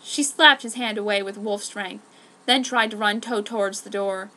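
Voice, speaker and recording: A woman's voice: mid-range voice, normal speed, sharp tone. A woman giving a dramatic scripted reading as an amateur story narrator. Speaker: amateur fan fiction narrator.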